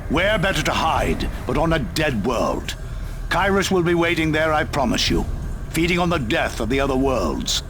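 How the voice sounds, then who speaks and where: An actor playing a ruthless, calculating military commander in a video game cutscene, cool and measured. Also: male voice, fairly high pitch, big pitch swings, noisy background. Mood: cold. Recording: game cutscene with music behind.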